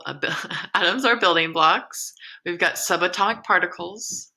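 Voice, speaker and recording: A woman's voice: on the low side, creaky, sharp tone. A woman teacher reading a science article aloud to her students, explaining along the way and correcting her own slips. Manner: encouraging, explains as she reads, with slips and self-corrections.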